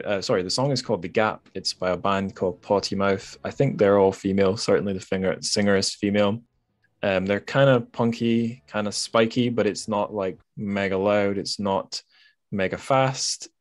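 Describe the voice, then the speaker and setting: Low-voiced man hosting a podcast. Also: no room sound. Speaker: podcast host. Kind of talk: casual podcast interview.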